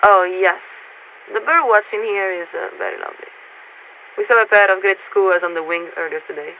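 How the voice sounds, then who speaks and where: A woman playing a poised, politely bureaucratic military signaler in a video game, speaking formally and in measured phrases through a radio filter. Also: mid-range voice. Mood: pleasant. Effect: radio transmission filter. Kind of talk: scripted video game character dialogue. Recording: narrow radio band with static noise.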